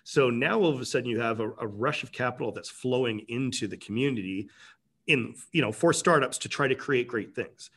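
A middle-aged man speaks as an interview guest in a relaxed, rambling way. He restarts his sentences often and fills the gaps with "you know". His voice sits at an ordinary mid pitch. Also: fairly quick, slightly rough. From Canada. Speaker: tech company president, guest. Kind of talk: casual interview talk.

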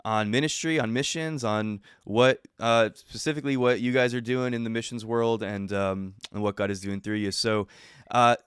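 Man, podcast host, smooth clear voice, dry sound, eager, video call. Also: mid-range voice, fairly even intonation, moderate pace, no room sound. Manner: enthusiastic, warm thanks, frequent fillers. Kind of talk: upbeat interview hosting.

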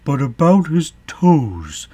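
A man voicing a mournful, querulous Mock Turtle, a sentimental storybook creature with drawn-out, doleful delivery. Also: fairly high pitch, sing-song, warm tone, slightly rough, voice pushed up, full character voice. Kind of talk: storybook character acting.

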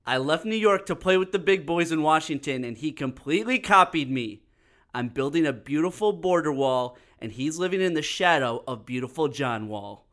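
A man's voice: a little high, animated, normal speed, fairly bright tone. A man co-hosting a podcast in casual, teasing, joking banter. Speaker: podcast co-host.

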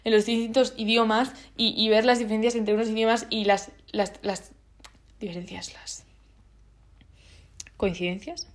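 A woman's voice: on the high side, level tone, moderate pace, pauses often. A nineteen-year-old woman from Spain with a Spanish accent, talking in a casual vlog with many restarts and fillers and lots of slang. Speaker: vlogger.

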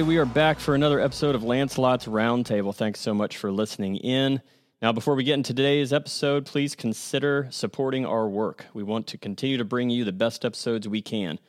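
A man hosting a podcast, reading a sponsor message and interviewing a guest in a friendly, conversational way. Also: mid-range voice. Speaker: podcast host.

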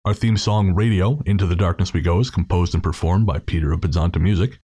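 A man's voice: sits low, fast, dark tone, husky. A man hosting a podcast, chatting casually and informally through thanks and plugs. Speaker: podcast host.

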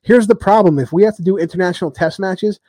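Man, podcast host, casual rugby talk, rambling with fillers, joking jabs, warm tone, close dry mic. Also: sits high, quick pace, dry recording. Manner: rambling, lots of ums, joking trash talk.

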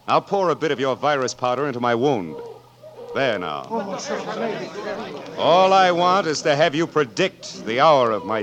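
A man's voice: mid-range voice, natural voice. A man as a pompous, sneering establishment doctor. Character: scornful, pompous male doctor of the medical establishment. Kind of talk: 1940s radio acting. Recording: noisy, clicking old radio recording.

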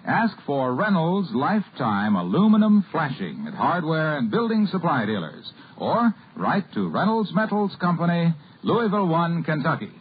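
A man's voice: on the high side, sing-song, moderate pace. A man with an American accent announcing and reading a scripted commercial in polished, emphatic 1950s radio salesman style. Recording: tinny, noisy vintage radio broadcast.

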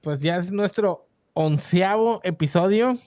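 A man hosts an online radio show in a loose, slangy, joking manner. His voice is slightly high and close to the mic, with a dry, unreverberant sound. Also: a little slow, darkish tone, no room sound. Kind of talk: casual online radio interview.

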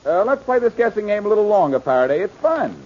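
Quick-witted teasing detective, American, animated pitch, self-assured, vintage radio crime serial, noisy muffled recording. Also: male voice. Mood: mocking. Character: cool, wisecracking male amateur detective with quick wits. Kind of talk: 1940s radio acting.

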